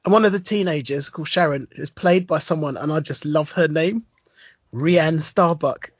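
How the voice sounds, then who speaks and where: A man's voice: fairly high pitch, moderate pace, no echo. A man co-hosting a podcast, telling a long story with a lot of enthusiasm and rambling asides. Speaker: podcast co-host. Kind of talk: casual chat.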